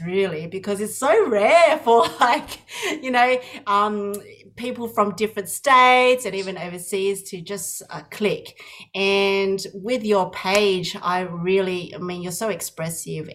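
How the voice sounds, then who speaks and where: A woman's voice: lowish voice, a bit bright. Woman host, chatty and effusive. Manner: effusive, many restarts and fillers. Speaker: podcast host and counsellor. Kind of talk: casual interview.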